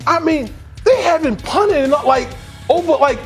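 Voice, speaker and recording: A former player, a man, talking as an analyst in a studio debate. His voice is high and jumps around a lot as he talks in an excitable, emphatic way. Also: measured pace, soft background music. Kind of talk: sports debate.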